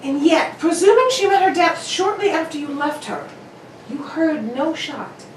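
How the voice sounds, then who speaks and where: A probing, excitable gentleman detective acted by a woman in an old-style radio mystery. The voice is high and very animated, with brisk, courteous, theatrical questioning, heard at a distance in an echoing room. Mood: probing, measured. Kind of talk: 1940s radio detective drama acting. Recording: roomy, distant mic with faint noise.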